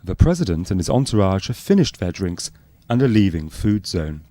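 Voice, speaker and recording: A man playing a straight-faced, matter-of-fact docu-soap narrator in a radio spoof, reading scripted narration deadpan. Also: sits low, moderate pace.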